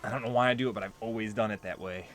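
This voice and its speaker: A man's voice: on the low side, steady tone, racing, background noise. A man giving casual let's play commentary, explaining game lore in a conversational, rambling way. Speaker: let's player. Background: game music and audio.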